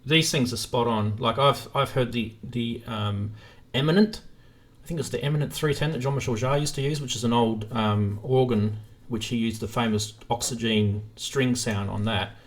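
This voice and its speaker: A man's voice: medium pitch, normal speed. A man hosting a live stream in a casual, informal chat, thinking aloud as he goes.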